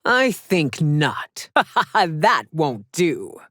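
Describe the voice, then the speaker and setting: An actress playing a haughty, regal, middle-aged warrior empress in a video game, speaking formally and imperiously with theatrical diction. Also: female voice, deep voice, very animated, very slow, fairly bright tone, dry recording, studio quality, heavily acted. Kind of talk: video game character voice lines.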